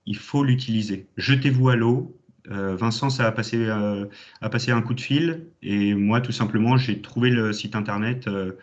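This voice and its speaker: A fairly low-voiced man in his thirties talks with enthusiasm and plenty of hesitant fillers. He is giving an alumni talk at an online conference. The audio is dull and lo-fi, as on a video call. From Champagne, France. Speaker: alumni guest speaker.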